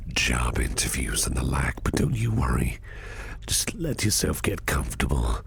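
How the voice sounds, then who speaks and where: An extremely deep, heavily gravelled voice of a sinister, flirtatious boss for an ASMR roleplay. He drawls slowly in a whispery growl, smooth and intimidating, with faint noise behind him. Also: exaggerated voice. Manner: slow, drawling, suave and intimidating, with lingering pauses. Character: shady, flirtatious, menacing crime boss, smooth-talking and sinister. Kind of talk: ASMR roleplay, close personal attention.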